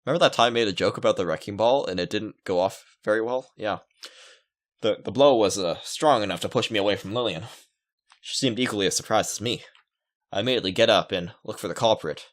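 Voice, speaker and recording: A man's voice: fairly low pitch, fast. A man reading a visual novel aloud as a let's player, adding playful joking asides. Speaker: video game let's player. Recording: music under the voice. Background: game music.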